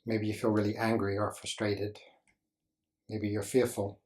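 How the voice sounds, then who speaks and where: A man leading a guided meditation as a teacher, speaking gently in short instructional phrases with deliberate pauses. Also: lowish voice, level tone.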